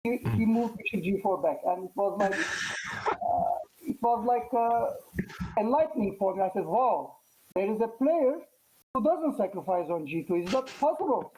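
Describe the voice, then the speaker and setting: A man from Turkey, a Turkish native speaker, chatting casually as a guest after a chess match, halting and full of fillers. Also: high voice, lively intonation, background noise. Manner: halting, many fillers and restarts. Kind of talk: casual post-match interview.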